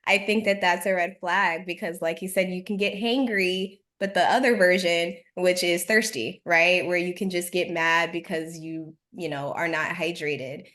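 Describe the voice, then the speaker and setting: A woman's voice: lowish voice, steady tone, moderate pace, hardly any pauses, fairly bright tone. Upbeat woman fitness trainer on a call. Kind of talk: virtual panel discussion. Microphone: video call.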